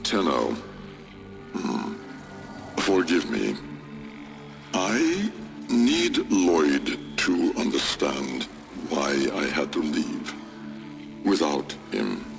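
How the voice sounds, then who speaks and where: An actor playing a grave, regretful, secretive older scientist in a video game, speaking in a gravelly, solemn, measured way. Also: male voice, very animated, music in the background, exaggerated voice. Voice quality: deep, gravelly. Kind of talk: dramatic video game monologue.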